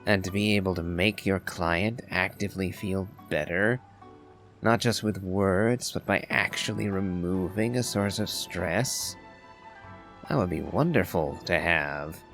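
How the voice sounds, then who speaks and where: A man giving casual game commentary as a streamer, in a musing, wry and measured way. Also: deep voice, fairly bright tone, soft background music. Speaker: gaming streamer.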